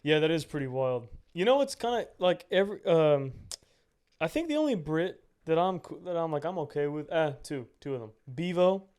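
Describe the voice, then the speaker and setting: Laid-back young man, slightly high voice. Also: animated, moderate pace, darkish tone, smooth. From United States. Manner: laid-back, lots of "yeah" and slang. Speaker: podcast co-host. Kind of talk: casual chat.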